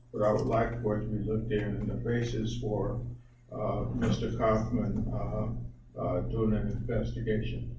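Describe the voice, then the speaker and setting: A man on a board, the vice president, speaking haltingly with stumbling restarts in a public meeting. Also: on the low side, lo-fi sound. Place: meeting room. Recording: echoey room, distant mic, background noise.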